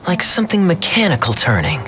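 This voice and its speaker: A man's voice: a little high, sing-song, brisk, very noisy. A man voicing a composed, courteous adventurer in a video game. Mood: calm, impressed. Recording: loud music behind the voice.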